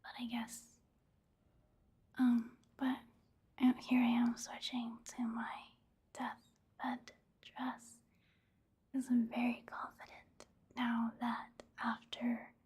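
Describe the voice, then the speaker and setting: A woman giving soft-spoken ASMR commentary over gameplay in a breathy, hushed whisper, unhurried. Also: a little high, completely flat delivery. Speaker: ASMR video creator narrating her gameplay.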